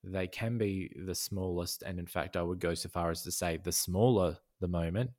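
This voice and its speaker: Deep-voiced guy chatting on a podcast, slow and thoughtful, lots of restarts. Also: steady tone, darkish tone. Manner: thoughtful, many fillers and restarts. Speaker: podcast guest, design educator. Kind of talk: casual conversation.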